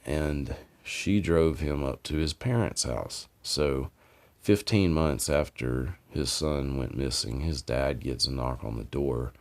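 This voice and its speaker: A man's voice: a bass voice, slow. A man hosting a podcast, retelling stories in an informal, rambling way and often correcting himself. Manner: informal, digressive, self-correcting. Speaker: podcast host. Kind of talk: casual, rambling retelling of mysteries.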